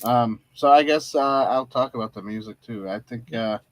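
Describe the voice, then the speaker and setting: An easygoing man talks through a film on a casual review podcast, laughing often and circling his points with fillers. His voice sits in an ordinary middle pitch. He comes across as relaxed and conversational. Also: normal speed, dry recording. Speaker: podcast co-host.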